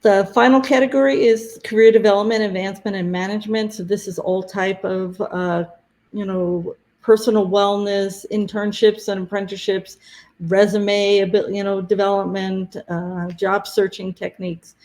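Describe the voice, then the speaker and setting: A woman presenting conference deadlines and tracks in a webinar, talking haltingly with many fillers, her voice going hoarse. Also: mid-range voice, steady tone, a little mellow. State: losing her voice.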